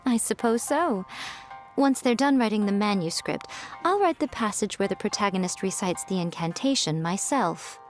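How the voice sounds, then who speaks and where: Actress as a poised, teasing fox-spirit lady publisher, smooth, faint game music behind. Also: medium pitch, animated, unhurried, lightly acted. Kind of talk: video game voice acting.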